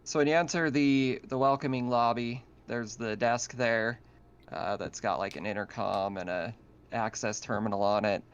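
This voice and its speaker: Male game master, smooth voice, halting descriptive delivery, slightly lo-fi sound. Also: mid-range voice, steady tone. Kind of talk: improvised narration of an online tabletop game.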